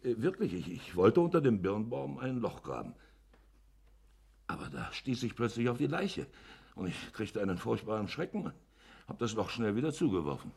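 An actor in a German radio play playing a shifty village innkeeper who justifies himself in a hesitant, wordy way. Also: male voice, fairly low pitch, big pitch swings, moderate pace, creaky, a little mellow, breathy edge, heavily acted. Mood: evasive, ingratiating. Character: shifty, smooth-talking village innkeeper hiding a crime.

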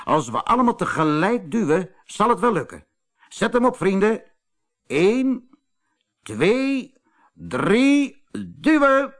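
A man voicing a steady badger who leads his animal friends in a firm, rallying way, in a children's storybook. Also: high voice, takes it slowly, pauses often, pitched above the performer's own voice, full character voice.

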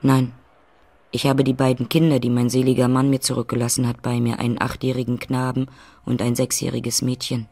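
A woman's voice: extremely low pitch, monotone, brisk, warm tone. An actress playing a shy, simple widowed landlady of about thirty in a radio play. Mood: shy, plain.

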